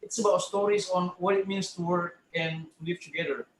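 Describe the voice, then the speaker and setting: A man with an Indonesian accent informally introducing an online talk event, halting and repeating words, on a roomy video call. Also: high-pitched, fairly even intonation, slight background noise. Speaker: moderator of an online talk series.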